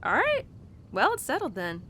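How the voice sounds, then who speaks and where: A woman's voice: high voice, huge pitch swings, normal speed, pushed and bright, slight background noise, full character voice. A woman playing a young, animal-loving cowgirl who confides tenderly in her horse in an intimate, casual, rambling audio roleplay. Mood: playful, sassy. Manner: casual, rambling, sighing and giggly.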